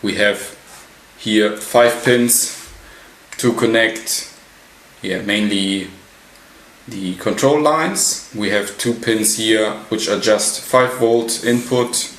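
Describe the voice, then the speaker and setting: A man giving an unscripted technical walkthrough as a hobbyist presenter, halting and pausing mid-sentence. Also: fairly low pitch, fairly bright tone. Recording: roomy echo, light background noise.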